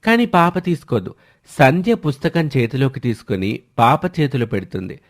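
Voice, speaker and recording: A man reads scripted story narration in a medium-pitched voice. The intonation swings widely and keeps the telling animated. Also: moderate pace.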